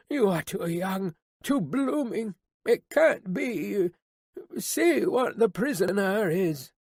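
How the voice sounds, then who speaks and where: Woman doing old broken prisoner, halting, bewildered, slow. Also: mid-range voice, darkish tone, slightly rough, no room sound, studio quality, pitched above the performer's own voice, full character voice. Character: old man, a broken former prisoner, distraught. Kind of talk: audiobook character reading.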